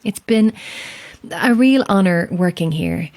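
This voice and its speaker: A woman keeping a lighthouse, in a naturalistic audio drama. Her voice sits slightly low. She is chatty and friendly with a wistful edge, slipping into hesitant, self-deprecating asides. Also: expressive, slow, a little mellow. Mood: wistful, self-mocking.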